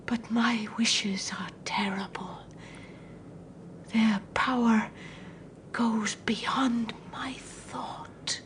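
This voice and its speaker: A woman's voice: fairly high pitch, fairly even intonation, drawn-out words, long pauses, fairly bright tone, slight background noise. An actress playing an anguished, devout old mother in a period audio drama, haunted by her own curse and given to whispers and cries. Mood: haunted, hushed.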